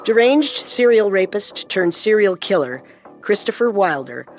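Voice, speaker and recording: True crime co-host: woman, medium pitch, deliberate dramatic read, faint music bed. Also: big pitch swings. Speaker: podcast co-host and narrator. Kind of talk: scripted true crime narration.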